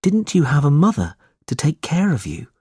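A man's voice: a little high, huge pitch swings, moderate pace, a little mellow, husky, no echo, studio quality, pitched above the performer's own voice, heavily acted. A man voicing a brave, protective young man in a storybook, speaking earnestly and with defiance.

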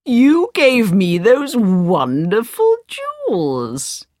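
A woman voicing an old male sultan, speaking slowly and grandly. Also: mid-range voice, huge pitch swings, a little mellow, dry recording, studio quality, voice pushed up, heavily acted. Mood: surprised.

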